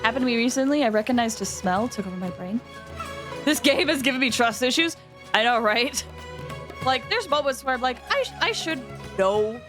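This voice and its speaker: A woman's voice: a little high, lively intonation, fairly quick. A woman streaming a video game, giving chatty, playful, casual live commentary. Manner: chatty, playful, reads game text aloud. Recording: game music behind the voice. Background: game music.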